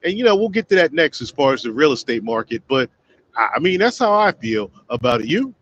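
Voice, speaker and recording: A man hosting a casual livestream. He rambles, talks straight to his viewers as "y'all" and gives shout-outs along the way. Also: fairly high pitch, sing-song, a little fast, lo-fi sound, slight background noise. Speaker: livestream host.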